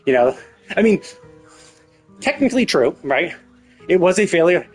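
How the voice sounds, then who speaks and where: A man doing casual live game stream commentary, rambling and thinking out loud with casual swearing. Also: sits high, big pitch swings, normal speed. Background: faint game music.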